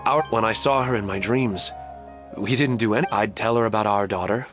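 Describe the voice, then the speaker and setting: A man's voice: fairly low pitch, a little fast, lightly acted. An actor playing a wistful, polite father in an anime game, speaking hesitantly and tenderly. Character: wistful, polite widowed father clinging to dreams. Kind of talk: scripted anime game dialogue. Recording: game music under the voice.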